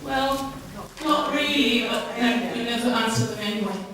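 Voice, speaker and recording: A woman putting a question as a member of the public at a formal meeting, halting but pointed and challenging. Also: a little high. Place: large town hall meeting room. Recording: very echoey hall from far off, faint noise.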